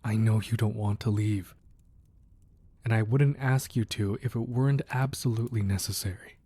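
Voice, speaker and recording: A deep, mellow male actor playing a crime boss husband in a romantic audio roleplay. He is soft and breathy, measured and firm, a ruthless man who turns protective and affectionate with the woman he loves. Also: fairly even intonation, no room sound. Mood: worried, tender. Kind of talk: intimate romantic ASMR roleplay acting.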